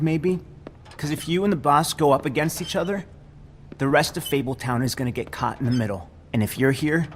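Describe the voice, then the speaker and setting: A man's voice: on the high side, a little hiss or hum, close to an everyday voice. An actor playing a meek, dutiful doorman in a video game, polite, hesitant and pleading. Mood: earnest pleading. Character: meek, earnest, dutiful little male doorman.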